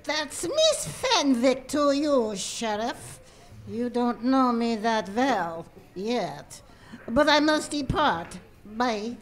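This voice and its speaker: Woman playing slow, high, haughty servant lady. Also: very animated, full character voice. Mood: prim, aloof. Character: prim, haughty female lab assistant devoted to master. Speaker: voice actor in a comedy audio drama. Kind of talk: comedic audio drama acting.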